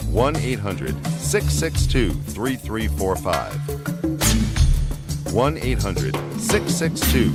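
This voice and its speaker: A man with an American accent announcing scripted radio series credits and promos. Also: fairly low pitch.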